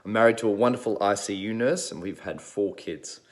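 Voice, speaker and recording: An Australian man with a lowish voice introduces himself in a relaxed, conversational way. His sentences are often broken up by ums and uhs, and the recording is clean. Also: brisk. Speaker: new board member. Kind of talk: prepared self-introduction.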